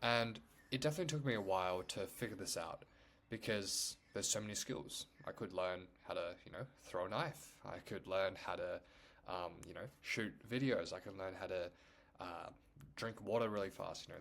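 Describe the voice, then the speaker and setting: Young male YouTuber, native Chinese speaker, lowish voice, loose rambling delivery. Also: a little fast, vocal fry, fairly bright tone. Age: young adult. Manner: rambling, full of "like" and "you know". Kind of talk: casual talk to camera.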